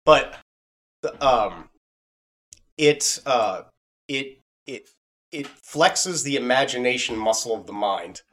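A man's voice: mid-range voice, slow pace, pauses often, fairly bright tone. A man hosting a podcast, talking casually and explaining things in an earnest, rambling way. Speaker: podcast host and audio drama maker. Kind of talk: casual conversation.

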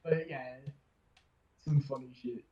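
A man's voice: mid-range voice, fairly even intonation, takes it slowly, dark tone, recorded from a distance, lo-fi sound. A young man chatting casually on a podcast; his voice keeps drifting away from the microphone. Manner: drifts off mic, voice fading away. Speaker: podcast co-host. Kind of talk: casual chat.

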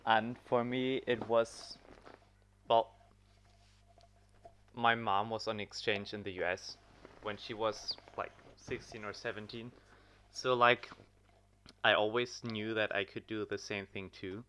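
Young german guy, low voice but bright and crisp, relaxed podcast chat. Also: takes it slowly, long pauses, slight background noise. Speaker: podcast guest.

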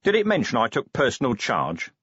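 A man voicing a grumpy, sardonic police commander in an audiobook, with a gruff, dry, sarcastic manner. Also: mid-range voice, huge pitch swings, a little fast, a bit bright, no room sound, muffled recording, pitched above the performer's own voice, full character voice. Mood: wry. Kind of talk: audiobook character voice.

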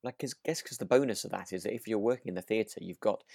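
A man's voice: on the low side, quick pace, vocal fry, darkish tone, no room sound, clean studio sound. A man co-hosting a casual review podcast, agreeable and a little hesitant, often chuckling as he talks. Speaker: co-host of a radio drama review podcast. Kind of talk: casual chat.

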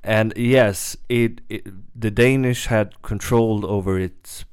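Clear-voiced man chatting. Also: on the low side, fairly even intonation, takes it slowly, darkish tone, no echo, clean studio sound. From southern Sweden. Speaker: podcast participant. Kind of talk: casual chat.

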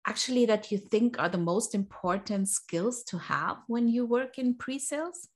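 A woman's voice: a little high, normal speed. A woman hosting an interview series, welcoming her guest and asking friendly, open questions. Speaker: podcast host.

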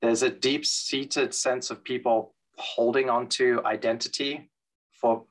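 A man answering interview questions in a thoughtful, measured way, with frequent fillers and restarts. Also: mid-range voice, steady tone. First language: English. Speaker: guest, transit expert. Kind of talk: interview conversation.

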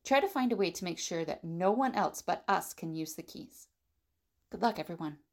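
Woman acting a brisk team leader in an audio drama, low voice, lots of fry. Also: expressive. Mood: commanding. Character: Ali, brisk take-charge woman leading a fugitive team.

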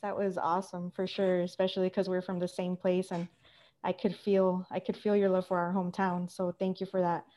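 Woman hosting a panel, really soft dark tone, bit low, informal and chatty. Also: level tone, brisk. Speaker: panel moderator. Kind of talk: informal moderated panel discussion.

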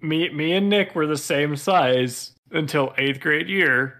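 A man's voice: on the high side, slow pace, a bit bright. A young man co-hosting a casual podcast, teasing his friends with crude jokes.

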